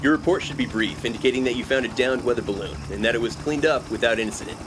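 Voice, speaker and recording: An actor playing a stern American Air Force captain, clipped and authoritative, in an audio drama. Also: male voice, mid-range voice, fast, noisy background, lightly acted. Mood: smooth, official. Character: stern male Air Force captain, commanding and coolly intimidating.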